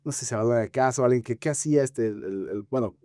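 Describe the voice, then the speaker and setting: A man with a Bolivian Spanish accent talking film criticism conversationally as a podcast co-host, haltingly searching for words with frequent filler tags. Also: medium pitch, mellow, dry recording, studio quality.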